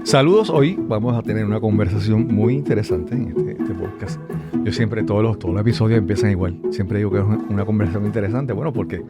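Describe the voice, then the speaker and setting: A man's voice: lowish voice, fast, loud music behind the voice. A man hosting a podcast interview, asking rambling friendly questions and often repeating words. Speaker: podcast host.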